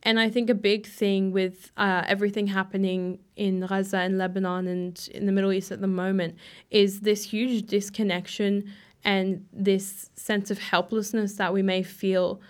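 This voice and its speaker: A young adult woman speaks in a middle-range voice, earnest and thoughtful. She answers questions in a relaxed, conversational way. Her speech is dotted with frequent "you know" and "um" fillers. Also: medium pitch, normal speed, smooth. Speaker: guest. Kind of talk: casual interview answers.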